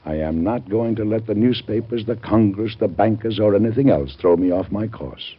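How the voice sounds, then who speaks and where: A man's voice: fairly low pitch, moderate pace, breathy edge. An American man acting an earnest, formal, beleaguered but resolute president in an old-time radio drama. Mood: defiant. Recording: muffled old radio show recording.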